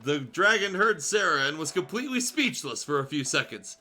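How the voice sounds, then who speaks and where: A man's voice: a little high, big pitch swings, moderate pace, bright, forceful tone. A man reading fan fiction aloud as a streamer, narrating dramatically with casual delivery and small self-corrections. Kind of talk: animated story narration with casual asides. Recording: music playing behind the voice. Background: music.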